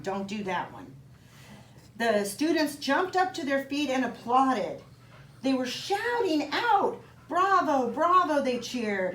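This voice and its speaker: A woman reading a children's picture book aloud in an expressive, child-directed way, with chatty asides to young listeners. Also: fairly high pitch. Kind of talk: animated storybook read-aloud for children with casual asides. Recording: roomy echo, some background noise.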